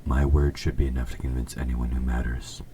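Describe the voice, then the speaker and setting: A man playing an evil king in an audio roleplay, speaking to the listener in a formal, measured, politely sinister way. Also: a bass voice, little pitch movement, mellow. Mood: earnest, persuasive. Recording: faint background noise.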